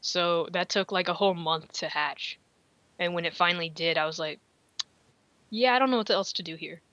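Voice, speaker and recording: A woman hosts a casual chat podcast in a bright, somewhat low voice. She rambles and jokes about herself, and her close, dry mic sounds a little dull. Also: moderate pace, no echo. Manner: rambling, self-deprecating, laughs often. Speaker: podcast host.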